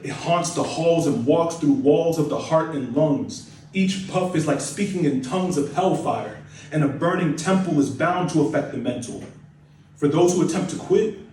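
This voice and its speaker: A young American man reciting spoken word poetry with dramatic, deliberate phrasing and emphatic repetition. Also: on the high side, darkish tone. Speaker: spoken word poet, nursing student. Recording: echoey room, distant mic, faint noise.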